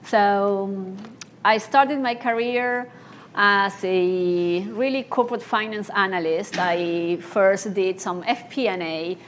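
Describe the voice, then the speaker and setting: A woman's voice: medium pitch, takes it slowly, a little hiss or hum. A middle-aged woman from Argentina, a senior treasurer, speaking earnestly about her career on a conference panel, with restarts. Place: conference stage.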